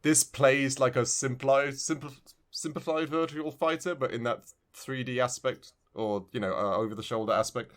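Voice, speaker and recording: A man's voice: mid-range voice, steady tone, moderate pace, hardly any pauses. A man co-hosting a casual video game review podcast, talking in a rambling way and often restarting his phrases. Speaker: podcast co-host.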